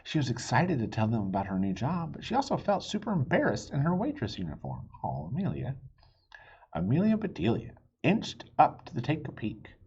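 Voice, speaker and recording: A man reads a children's story aloud with very animated, swooping intonation. His voice is husky and expressive, and he drops in little asides. The recording is slightly dull. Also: medium pitch, a little fast. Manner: expressive, with spoken asides and stumbles. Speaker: children's book reader. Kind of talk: animated read-aloud narration.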